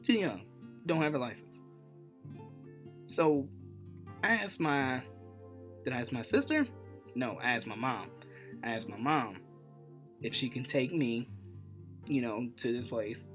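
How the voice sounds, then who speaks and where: A man telling a personal story casually as a vlog host, in an informal, animated way with self-deprecating humor. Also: mid-range voice, unhurried, pauses often, soft background music. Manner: animated retelling, acts out dialogue. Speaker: vlogger, channel host.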